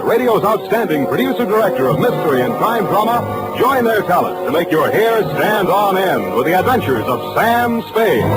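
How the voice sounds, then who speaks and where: A man's voice: a little high, low-quality recording. An American man announcing and advertising on 1940s radio in a polished, emphatic sales style. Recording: vintage 1940s radio broadcast. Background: music.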